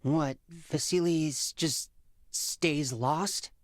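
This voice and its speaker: Younger brother: boyish, loyal, inquisitive Spartan youth in a game drama, dry close sound. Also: male voice, fairly high pitch, drawn-out words, dry recording. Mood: loyal, worried. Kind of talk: dramatic video game acting.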